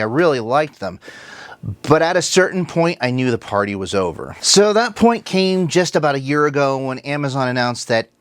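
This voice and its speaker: A man's voice: mid-range voice, no room sound. A man giving a casual, conversational product review to viewers, explaining things plainly as he goes. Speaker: tech reviewer, video host.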